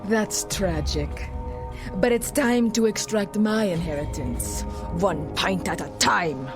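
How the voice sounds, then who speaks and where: A woman's voice: medium pitch, expressive, takes it slowly, music in the background. An actress playing a vengeful female vampire commander in a video game, with grandiose, commanding delivery. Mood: menacing. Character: vengeful female vampire commander, theatrical, fierce, foul-mouthed.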